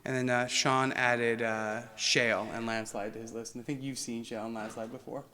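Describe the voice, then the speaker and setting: A man's voice: mid-range voice, flat delivery, moderate pace, a crowd in the background. A man with a mid-range voice hosts a tabletop game session. He explains play in a casual, playful way, chatting with the other players at the table. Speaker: host teaching a tabletop game. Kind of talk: casual chat during tabletop gameplay. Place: indoors around a game table.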